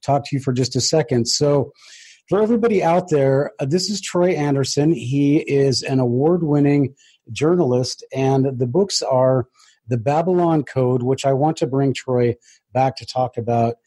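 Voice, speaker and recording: Guy hosting a book interview, mellow n husky, casual questions. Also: mid-range voice, normal speed. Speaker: host of a book interview show.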